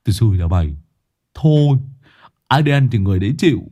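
A man voicing a gruff, irritable sidekick who speaks in a brusque, snappy way. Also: medium pitch, animated, fairly quick, mellow, close to an everyday voice. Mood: annoyed.

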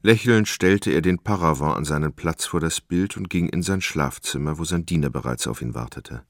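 Very deep, creaky male narrator. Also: normal speed, dry recording, studio quality, soft background music. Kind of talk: scripted literary narration for a radio play. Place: dry studio.

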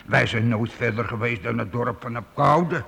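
A man playing a talkative, anxious medieval gatekeeper in a Dutch radio drama, speaking haltingly and earnestly with a gravelly voice. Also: mid-range voice, a bit bright. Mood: hesitant pleading. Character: talkative, anxious, pleading medieval castle gatekeeper with big belly. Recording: radio play with faint background noise.

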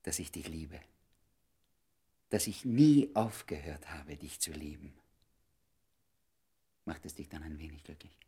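A man's voice: very deep voice, expressive, measured pace, pauses often, dark tone, slightly rough, exaggerated voice. An actor playing an ardent, devoted man wooing an old love in an intimate German radio drama, urgent and often murmuring. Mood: earnest, tender.

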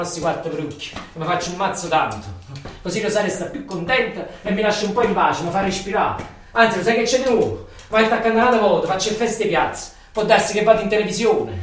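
A man with a Neapolitan accent playing an embittered, rambling factory worker in a theatrical monologue, colloquial and repetitive. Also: sits high. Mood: defiant, frustrated. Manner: colloquial, repetitive, ranting and muttering. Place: echoey room or hall, far from the mic. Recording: echoey, distant stage recording with faint noise.

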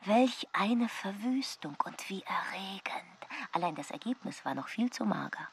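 An actress playing a wilful, excitable woman who relishes mischief, in a radio drama. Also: mid-range voice, a little slow, creaky, a little hiss or hum. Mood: breathy, thrilled. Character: Margarita, thrilled, wilful woman on a destructive rampage. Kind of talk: radio drama acting and narration.

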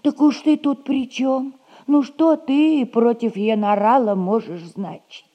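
A woman's voice: fairly high pitch, fairly even intonation, slow pace, warm tone, highs cut off. An actress playing a folksy, knowing servant woman, a bossy and wheedling go-between in a theatrical 1960s audio comedy. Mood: matter-of-fact, teasing.

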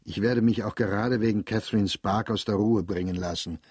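A man reading diary entries aloud for a radio drama in a measured, reflective, understated way. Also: lowish voice, fairly even intonation, a little mellow, breathy edge, no room sound, studio quality.

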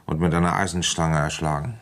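A village police commissioner in a radio mystery, deep voice, calm and dry, asks probing stuff. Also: male voice, a little fast. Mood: grave, probing. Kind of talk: German radio crime drama acting and narration.

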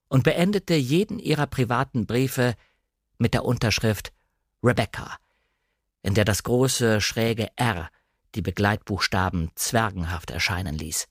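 A man's voice: mid-range voice, no echo, clean studio sound. A man narrating a novel as a scripted literary audiobook reading.

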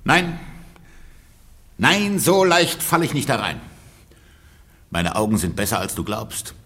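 Radio comedy role: jealous peasant husband, stern and scolding, husky, very animated intonation. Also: male voice, medium pitch, a little slow, full character voice. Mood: indignant, stern. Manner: emphatic, scolding. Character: suspicious, indignant rich peasant husband, stern and lecturing. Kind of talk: German radio play acting, stagy comedy.

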